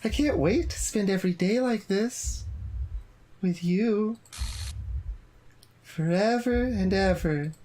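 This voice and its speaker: A woman voicing a sweet teenage girl who has become club president, speaking with calm, clingy devotion. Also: medium pitch, slow, pauses often. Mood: devoted.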